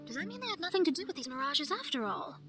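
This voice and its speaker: A woman voicing an earnest, wistful young astrologer in a video game, speaking in a measured, theatrical way. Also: sits high, sing-song, music in the background. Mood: thoughtful. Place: studio, with music behind.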